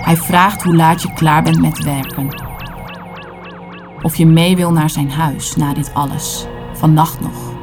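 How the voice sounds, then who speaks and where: A woman performing a literary audio play, narrating in short, deliberate dramatic phrases. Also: sits low, steady tone, over music. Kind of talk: literary spoken-word narration in second person.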